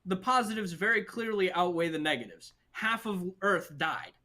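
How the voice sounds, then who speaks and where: A man's voice: sits high, animated, normal speed, sharp tone. A man in a casual, heated panel debate, insistent and argumentative as he makes his case. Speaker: discussion show panelist.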